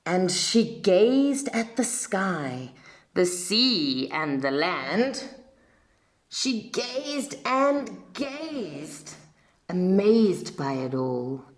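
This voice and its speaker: Female narrator for rhyming picture book. Expressive and dramatic, widely varied intonation, takes it very slowly. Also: on the low side. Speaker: storyteller reading a children's picture book. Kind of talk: expressive read-aloud of a rhyming children's story.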